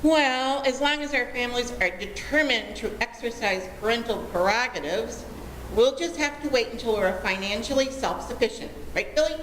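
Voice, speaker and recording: Woman acting a prim, indignant daughter, highish voice. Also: expressive, moderate pace, fairly bright tone. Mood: defiant. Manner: prim, wordy. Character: indignant, lofty young daughter lecturing her elders. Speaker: amateur actor. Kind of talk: old-time radio comedy acting. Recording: echoey hall, faint noise.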